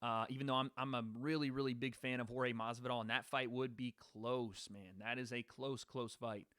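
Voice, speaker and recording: A man's voice: medium pitch, fairly even intonation, moderate pace, a bit bright, dry recording. Male stream host, casual rambler, chats with his viewers, mid voice, dry sound. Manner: casual, rambling, talks to live chat. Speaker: podcast host. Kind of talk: live-stream sports predictions.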